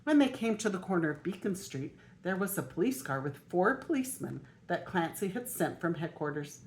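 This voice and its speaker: An older woman, a grandmother, reading a children's picture book aloud in an expressive way. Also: lowish voice, fairly quick, slight background noise. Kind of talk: storybook read-aloud.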